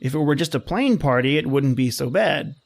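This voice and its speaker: Man acting a wisecracking, grumbling fiancé, higher-pitched. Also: expressive, brisk, vocal fry. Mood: exasperated, sardonic. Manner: slangy, exasperated, wry. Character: grumbling wisecracking young fiancé dreading his boss-lady's party. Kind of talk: 1930s radio drama acting.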